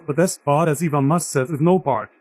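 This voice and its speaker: A man's voice: fairly high pitch, fairly quick, darkish tone, dry recording. A man explaining engineering in a casual, unscripted way, like an engineer being interviewed.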